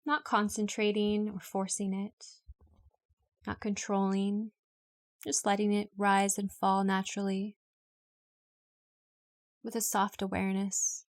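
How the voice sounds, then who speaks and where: A woman reading a guided yoga nidra meditation in a calm, unhurried, instructional way. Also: medium pitch. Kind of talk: guided meditation, read from a script.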